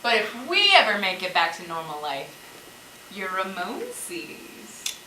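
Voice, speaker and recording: A woman's voice: mid-range voice, wildly swooping pitch, a little slow, bright, forceful tone, full character voice. A woman acting in a stage play as a worried, teasing companion keeping watch among zombies. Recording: echoey stage, clear background noise.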